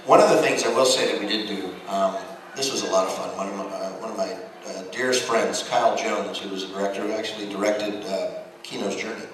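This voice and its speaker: American guy doing panel anecdotes, acts out lines, distant mic, clear background noise. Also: fairly low pitch, quick pace. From United States. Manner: animated storyteller, acts out dialogue. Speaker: voice actor on a convention panel. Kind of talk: informal panel talk with anecdotes. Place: convention panel room. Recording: very echoey hall, distant mic, noisy.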